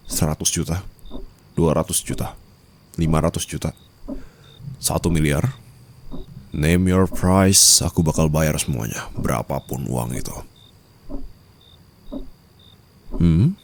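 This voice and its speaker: A man's voice: darkish tone, exaggerated voice. A man playing a dominant, menacing rich husband in an ASMR roleplay, murmuring taunts intimately and slipping into whispers and English endearments. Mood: interrogating. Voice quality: very deep, gravelly. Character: dominant, menacing wealthy new husband toying with treacherous bride.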